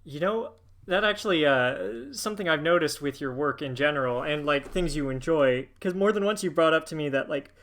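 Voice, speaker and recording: A young man with a fairly high, bright voice chats on a stream. He is supportive and laughs easily, stumbling over the starts of his sentences. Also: expressive, brisk, few pauses. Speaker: guest co-host. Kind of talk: casual livestream chat.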